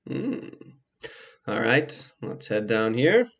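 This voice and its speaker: Guy doing casual lets play commentary, mid voice, kinda lo-fi mic, thinks out loud. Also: mid-range voice, sing-song, slow, gravelly. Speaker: gameplay video host. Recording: slightly dull lo-fi mic, clicking.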